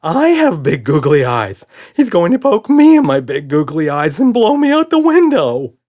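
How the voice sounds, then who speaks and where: Frantic, high-pitched little monster. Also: male voice, huge pitch swings, normal speed, darkish tone. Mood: panicked. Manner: panicky, gasping and wailing. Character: small, terrified, frantic monster with googly eyes. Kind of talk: children's audiobook character.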